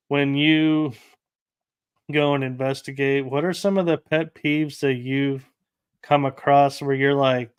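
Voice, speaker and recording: A man's voice: a little high, clean tone. A man hosting a casual interview, telling rambling anecdotes with light laughs. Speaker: podcast host.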